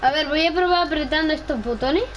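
A woman with a high voice chats casually through a game with her co-player. Her remarks are brief, blunt and teasing. The home game-chat audio carries light noise. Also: a little fast, fairly bright tone.

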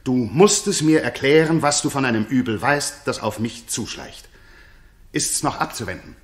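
A man's voice: mid-range voice, expressive, moderate pace, close to an everyday voice. An actor playing an indignant noble king in a 1960s German radio drama, speaking solemnly and theatrically. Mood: urgent, probing. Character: shocked noble king of Bohemia, slandered friend, indignant.

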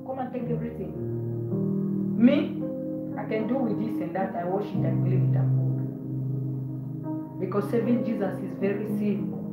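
A woman's voice: medium pitch, moderate pace, long pauses, loud music behind the voice. A woman in her forties preaching a fiery sermon in her living room, repeating words and moving about, recorded on a phone a short way off. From Zambia. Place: living room of a house, indoors. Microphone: phone camera on a stand at a short distance. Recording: roomy, dull lo-fi phone audio.

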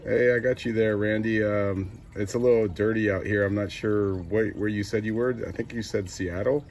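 A man's voice: on the low side, fairly even intonation, normal speed, darkish tone, slight background noise. An American man making casual CB radio calls in a clipped way, repeating call signs. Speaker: CB radio operator.

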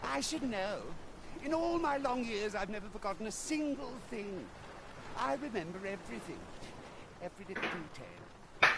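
A man's voice: high-pitched, sing-song, moderate pace, vocal fry, very noisy, heavily acted. An actor playing an ancient, wise male storyteller in a video game, speaking in old-fashioned, musing phrases.

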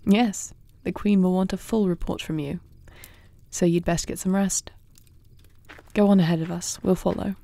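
A woman playing a poised young warrior princess in a fantasy audio roleplay, speaking with formal, measured, regal diction. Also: lowish voice, steady tone, creaky, warm tone, no room sound. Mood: kindly authoritative. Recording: faint night cricket ambience.

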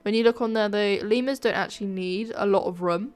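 Woman chatting over a game build video, kinda nasal n stuffed up, sore throat, medium pitch, thinks out loud. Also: brisk, clear, resonant tone. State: ill with a sore throat, slightly flat voice. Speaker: gaming video creator, narrator. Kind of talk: casual speed-build commentary, chatty voice-over.

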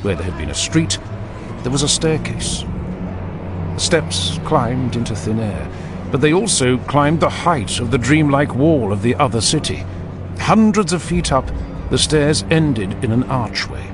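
A man giving scripted, dramatic narration of a fantasy audiobook with grave, deliberate phrasing. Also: medium pitch, sing-song, background noise.

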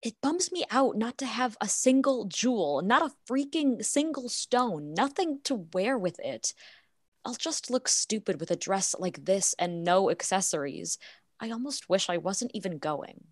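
A young American wife in a radio play, vain and easily upset. Her voice sits at a middle pitch, recorded close and dry. She talks in casual, sulky slang. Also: animated, measured pace, no echo. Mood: glum. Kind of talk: modern radio play acting.

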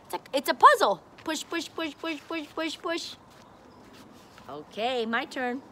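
A woman's voice: sits high, lively intonation, sharp tone, slight background noise. A woman teacher in her forties reading a picture book aloud to a child, outdoors, filmed on a phone at arm's length. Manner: slow, simple, encouraging teacher talk. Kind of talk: slow read-aloud lesson for a child. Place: outdoor patio by a brick wall. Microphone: phone camera at arm's length.

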